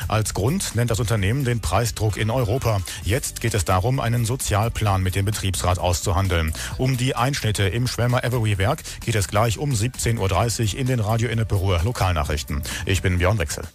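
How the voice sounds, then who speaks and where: A man's voice: lowish voice, a little fast, few pauses, soft background music. A man presenting local radio as host, reading scripted items in a studio.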